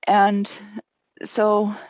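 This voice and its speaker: A woman's voice: mid-range voice, completely flat delivery, extremely slow, a little mellow, no room sound, highs cut off. A woman, a guest speaker in a webinar, explaining with frequent ums and restarts. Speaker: guest speaker on clinic design. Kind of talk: webinar discussion.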